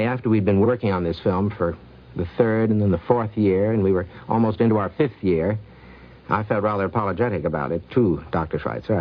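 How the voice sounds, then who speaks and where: A man recounting anecdotes in an unhurried, reflective way on a 1950s radio recording. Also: sits low, highs cut off. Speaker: film producer.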